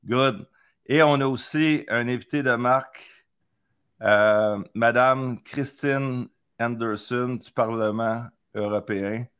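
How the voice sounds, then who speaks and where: A man hosting an interview, speaking slowly and hesitantly with a Québécois French accent, switching between French and English. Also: medium pitch, a bit bright, smooth. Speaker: host, columnist.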